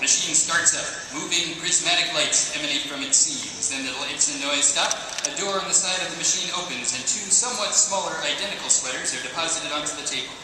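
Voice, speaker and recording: A man's voice: a little high, fairly quick, hardly any pauses. A man reading stage directions as scripted narration at a table read. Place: large convention hall, audience present. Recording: distant, echoey hall with loud noise.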